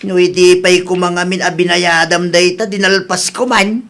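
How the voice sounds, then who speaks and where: A man's voice: high-pitched, level tone, moderate pace, a bit bright. A man with an Ilocano accent playing a grudging older father with little money, in a comedy radio drama.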